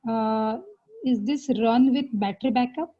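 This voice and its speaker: Soft-toned woman moderating questions. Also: on the high side, a little slow, dull audio, a little hiss or hum. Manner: hesitant, with many fillers. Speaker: workshop moderator.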